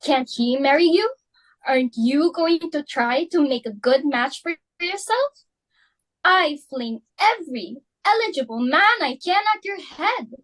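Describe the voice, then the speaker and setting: A young woman reading the part of an excitable, fashionable society matron hosting a dinner party, fussy and emphatic. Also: sits high, low-quality recording. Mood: fussing. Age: young adult. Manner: fussy, emphatic, quick to exclaim. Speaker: reader for Mrs. Pringle. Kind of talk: student dramatic reading.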